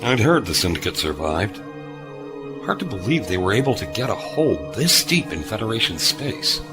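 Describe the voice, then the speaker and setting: A man playing a gruff, skeptical veteran starship officer in a sci-fi audio drama, with a dry, probing manner. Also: lowish voice, big pitch swings, normal speed, music in the background. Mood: curious, wary.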